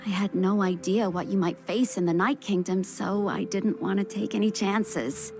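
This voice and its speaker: A woman's voice: mid-range voice, moderate pace, gravelly, close to an everyday voice. A woman playing a guarded but caring young healer in an anime-style game. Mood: earnest, explaining. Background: game music.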